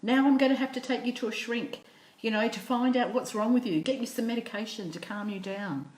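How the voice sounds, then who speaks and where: A woman voicing a cross, exasperated mother telling off her young son in a sharp, scolding way. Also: fairly high pitch, brisk, pitched above the performer's own voice, natural voice. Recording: echoey room.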